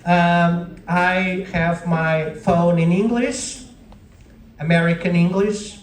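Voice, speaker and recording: Echoey, higher-pitched Portuguese-accented male lecturer. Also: fairly even intonation, slow, a little hiss or hum. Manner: keeps checking in with short tag questions like "right?" and "okay?". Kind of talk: informal classroom lecture to students. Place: indoor lecture room with audience. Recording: echoey room, distant microphone.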